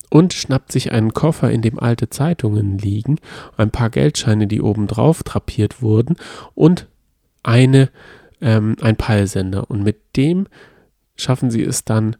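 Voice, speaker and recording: A man's voice: mid-range voice, animated, a little slow, creaky, dark tone, dry recording, studio quality. A man co-hosting a podcast in casual chat, eagerly retelling a plot and often restarting his sentences. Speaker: podcast co-host.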